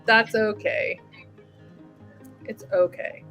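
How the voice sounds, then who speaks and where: A woman's voice: on the high side, steady tone, extremely slow, a bit bright, soft background music. A woman hosting a webinar in casual chat over a video call, bubbly and laughing often. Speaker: webinar host.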